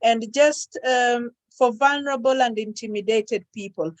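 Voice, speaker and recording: Higher-voiced East African woman, encouraging. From Kenya, born in Uganda. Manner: encouraging, halting. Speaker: audience participant, trainer. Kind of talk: informal supportive comment in an online meeting. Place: online call.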